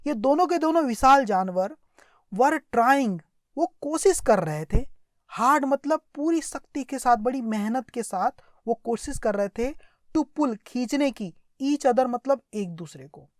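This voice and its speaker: A woman's voice: fairly high pitch, a little mellow, no echo, studio quality. A woman with an Indian accent teaching through a story, explaining it line by line and repeating herself with little check questions. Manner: explanatory, repetitive, with check questions and slowly read-out sentences. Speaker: English teacher. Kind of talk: line-by-line story lesson with translation and word explanations.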